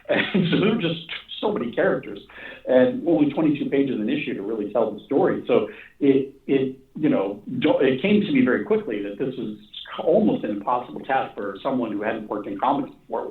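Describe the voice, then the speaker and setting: A man's voice comes through echoey and thin, like a phone line. He is a writer in a casual interview, circling back over his phrases in a halting, rambling way as he works out his thoughts. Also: mid-range voice. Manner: halting, rambling, repeats phrases as he thinks aloud. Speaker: animation and comic writer being interviewed. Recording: echoey, distant, phone-like call audio.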